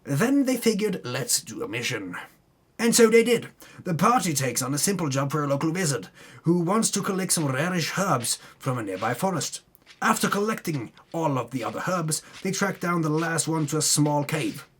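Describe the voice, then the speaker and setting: A man's voice: a little high, big pitch swings, normal speed, breathy edge. A man giving a dramatic, tongue-in-cheek reading of a fantasy game recap, breaking off into muttered asides. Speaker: narrator recapping a tabletop game campaign.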